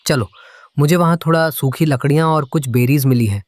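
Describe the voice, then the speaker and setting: A woman voicing a friendly male pigeon in a cartoon, with a steady, encouraging manner. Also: low voice, fairly quick, no echo, lightly acted. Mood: reassuring.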